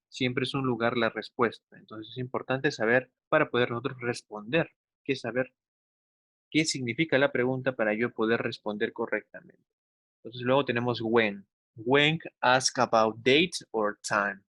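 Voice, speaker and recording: A man teaching an English class for children, slowly enunciating examples and often checking in with short tag questions. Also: medium pitch, a bit bright, no room sound. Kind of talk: instructional online class for children.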